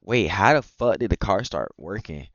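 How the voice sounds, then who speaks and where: A man's voice: low-pitched, expressive, normal speed, no room sound, muffled recording. A man streaming a visual novel, switching between casual, profane slangy commentary and reading the narration aloud. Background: plucked guitar game music.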